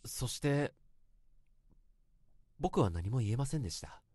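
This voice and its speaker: Radio drama lead: awkward, earnest young man, polite confessional monologue, animated intonation, dry studio sound. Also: mid-range voice, measured pace, husky, no room sound. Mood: hesitant, tense. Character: self-conscious young man confessing an awkward romantic memory.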